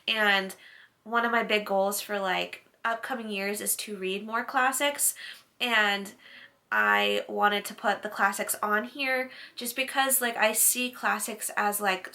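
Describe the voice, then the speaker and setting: A woman's voice: medium pitch, bright, forceful tone. A woman in her late twenties, a book vlogger chatting casually to camera, with lots of "like" fillers. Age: late 20s.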